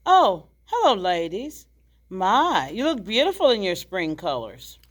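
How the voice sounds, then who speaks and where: A woman's voice: medium pitch, big pitch swings, a little slow. A woman reading the part of a genteel, chatty, gracious older widowed hostess in a stage play. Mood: wistful, gracious. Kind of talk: stage play table read.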